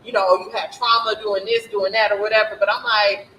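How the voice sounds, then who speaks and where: Bright, earnest woman talking. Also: mid-range voice, level tone, brisk, slight background noise, low-quality recording. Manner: earnest, rambling, full of fillers. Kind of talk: casual group discussion.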